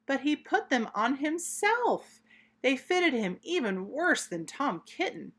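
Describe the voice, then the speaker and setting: A woman reading a children's story aloud, expressive, deliberate and emphatic. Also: sits high.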